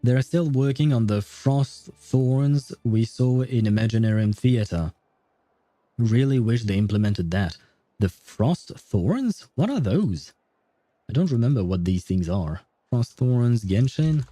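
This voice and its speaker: Mellow-voiced man chatting on a game stream. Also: mid-range voice, animated, measured pace, dry recording. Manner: musing, rambling, thinking aloud. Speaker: game livestreamer. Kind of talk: casual livestream chat, answering viewers.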